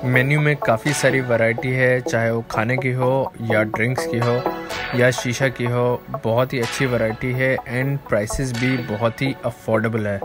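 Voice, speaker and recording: A man in his thirties narrating a casual vlog in a relaxed, friendly way, talking to viewers. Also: medium pitch, unhurried. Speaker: food vlogger. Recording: loud music underneath.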